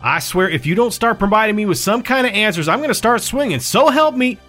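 A man's voice: fairly high pitch, expressive, fairly quick, pushed and bright, faint music behind. A man voicing a hot-headed, injured tough guy who snaps threats and demands answers. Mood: angry. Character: hot-headed tough male runabout, bruised and belligerent.

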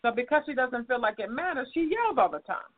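A woman's voice: high-pitched, expressive, a little fast, no echo. A woman hosting an advice talk show, a counselor who speaks frankly and teaches through examples. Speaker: host and counselor. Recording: phone-like narrow audio.

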